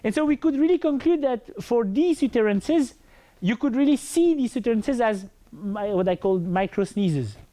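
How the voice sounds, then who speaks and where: Man, French accent, native French speaker, big full voice, warm and dark, hesitant academic lecture delivery. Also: very high-pitched, expressive, normal speed. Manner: hesitant, many fillers and restarts. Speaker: researcher giving a lecture.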